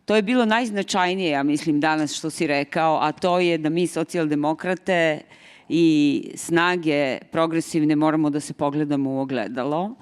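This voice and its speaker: A woman from Serbia with a Serbian accent, an audience member speaking up in a warm, comradely way. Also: deep voice, moderate pace, clear, resonant tone.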